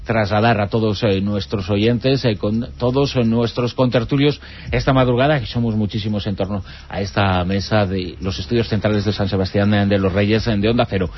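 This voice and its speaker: Lowish male radio host, hesitant. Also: fairly even intonation, normal speed, slight background noise. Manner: hesitant, many fillers. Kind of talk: late-night radio talk show. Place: radio studio. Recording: dull lo-fi radio broadcast.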